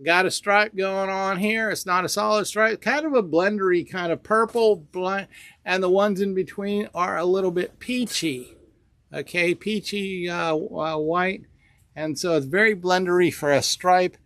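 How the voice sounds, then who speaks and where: An older man with a high, smooth and clear voice shows fabrics in a shop, rambling through each bolt with plenty of "uh"s before cheerfully naming the price. The sound is dry and close, picked up by a small camera on the counter. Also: steady tone, measured pace, a bit bright, no echo. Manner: rambling, many fillers, upbeat price calls. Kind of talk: casual product showcase. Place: indoor fabric store among shelves. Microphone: small camera on table nearby.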